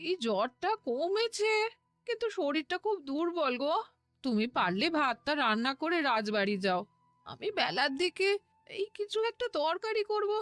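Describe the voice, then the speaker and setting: A woman voicing a folk-tale wife or queen in a cartoon, plaintive and expressive. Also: high voice, moderate pace, dry recording. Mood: weary. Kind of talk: animated cartoon voice acting.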